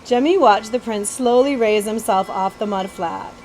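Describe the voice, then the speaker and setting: A woman's voice: medium pitch, moderate pace, a little hiss or hum. A woman reading a children's story aloud expressively, as a librarian would, stumbling now and then. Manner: animated storytelling with occasional stumbles and asides.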